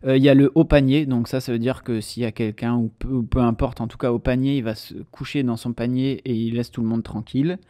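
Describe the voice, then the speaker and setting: A man talks alone on his podcast in a relaxed, unhurried way, often pausing on fillers as he explains. His voice is mellow and a little dark. The sound is close and dry, with no room echo. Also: mid-range voice. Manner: hesitant, many "euh" fillers, relaxed explaining. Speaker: podcast host. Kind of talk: casual solo podcast monologue.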